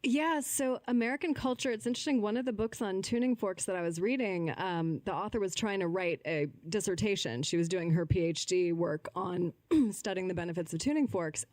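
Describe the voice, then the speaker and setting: A woman's voice: lowish voice, darkish tone, no echo. A woman guest on a podcast, earnestly explaining her views in a fluent conversational way with casual filler words. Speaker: podcast guest, holistic healer. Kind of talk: conversational interview answers.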